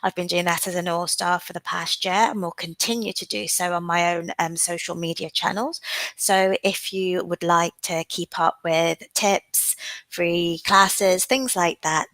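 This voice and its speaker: A woman with a cold leads a live online exam-prep lesson, her lowish voice gravelly and a little rough. She talks in an unhurried, explanatory way, pausing often on "um". The sound is dry and close, with no room around it. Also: fairly even intonation, fairly bright tone, no room sound, low-quality recording. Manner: frequent "um" fillers, unhurried and explanatory. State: has a cold. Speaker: OET teacher.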